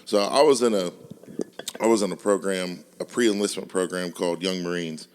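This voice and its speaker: A man in his late twenties co-hosting a podcast, chatting casually in a relaxed, slangy way and telling stories with frequent laughs. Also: deep voice, normal speed, slight background noise. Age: 20s. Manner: laid-back, slangy storytelling, laughs often. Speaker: podcast co-host. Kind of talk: casual chat.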